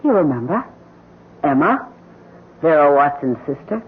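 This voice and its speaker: An actress playing a shrewd, brazen blackmailing housekeeper in an old-time radio drama, brisk, sardonic and self-assured. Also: female voice, low-pitched, very animated, breathy edge, full character voice. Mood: coolly confident. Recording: muffled, hissy vintage radio broadcast.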